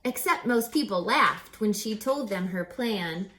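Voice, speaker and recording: A woman reading a rhyming children's picture book aloud as a teacher, with theatrical, deliberate, emphatic phrasing. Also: mid-range voice, animated, pushed and bright. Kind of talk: expressive children's read-aloud of rhyming verse.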